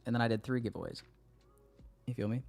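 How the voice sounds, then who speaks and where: A man's voice: mid-range voice, fast, vocal fry, darkish tone. A man hosting a livestream, chatting casually with his viewers in a slangy, joking way and swearing freely. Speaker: livestream host.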